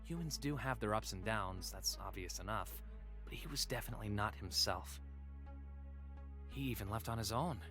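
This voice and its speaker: A man's voice: on the low side, sharp tone, soft background music. A weary, obedient male android. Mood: puzzled. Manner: measured, formal, subdued, with hesitant pauses. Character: weary obedient male android companion, polite, quietly troubled. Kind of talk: first-person diary narration in a sci-fi visual novel.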